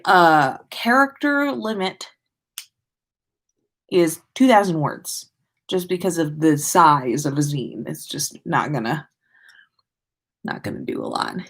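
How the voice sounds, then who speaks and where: Female livestream host and storyteller: informal, expressive, slower-paced, with a very animated pitch. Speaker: podcast livestream host reading listener stories. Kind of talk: casual livestream chat and expressive story reading.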